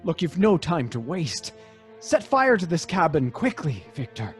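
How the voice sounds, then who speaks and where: An actor playing an anxious, pleading male friend in old time radio melodrama, husky, halting and emphatic. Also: on the high side, very animated, soft background music. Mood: urgent pleading.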